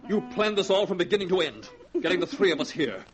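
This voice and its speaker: An actor playing a greedy young grandson who turns murderer in a 1940s radio drama, husky and swinging to frenzy. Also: male voice, sits high, big pitch swings, fast, a crowd in the background. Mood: enraged.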